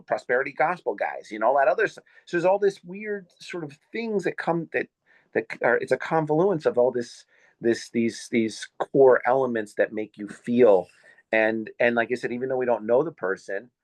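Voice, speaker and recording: A man in his fifties hosting a podcast, talking in a casual, halting way that is full of fillers. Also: medium pitch, no echo. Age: 50s. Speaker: podcast host.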